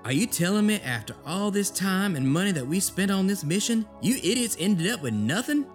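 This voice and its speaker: An actor playing an irascible older senator in an audio drama, hectoring and interrupting. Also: male voice, high-pitched, big pitch swings, fairly quick, soft background music. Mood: outraged. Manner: hectoring, interrupting, folksy cursing. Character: blustering, irascible older Southern senator, bullying and folksy.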